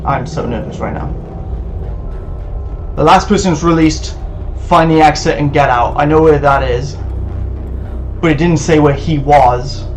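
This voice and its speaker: A man talks through a horror game as he plays, in a fairly high voice with slow, drawn-out delivery. He mutters nervously to himself and bursts into excitement, with faint music underneath. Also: low-quality recording. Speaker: let's play host. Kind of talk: live gameplay commentary.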